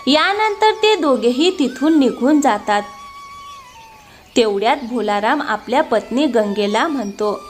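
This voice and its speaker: A woman's voice: a little high, expressive, unhurried, faint music behind. A woman storyteller reading scripted narration for a moral tale.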